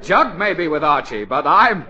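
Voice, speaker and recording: A man's voice: fairly high pitch, very animated, moderate pace, sharp tone, exaggerated voice. A man playing a flustered, beleaguered middle-aged father, stammering and nervous, in 1940s radio comedy style. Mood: pleading. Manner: stammering, nervous chuckles, pleading. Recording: dull, band-limited 1940s radio recording.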